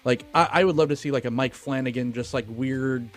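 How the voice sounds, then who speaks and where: Male film podcast co-host, mid-pitched, casual and blunt, cursing freely over faint music. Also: brisk. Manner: profane, opinionated.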